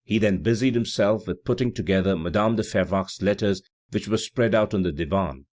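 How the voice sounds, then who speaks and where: Man, low-pitched voice, audiobook reader, scripted literary narration. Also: a little fast, darkish tone.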